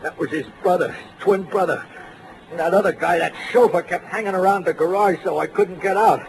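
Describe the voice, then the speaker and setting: Whining high-voiced hoodlum, noisy radio. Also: male voice. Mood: groggy confessing. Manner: whining, halting, slangy tough-guy talk. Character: battered, whining, small-time male hired gunman. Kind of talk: 1940s radio crime drama acting. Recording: noisy vintage radio recording.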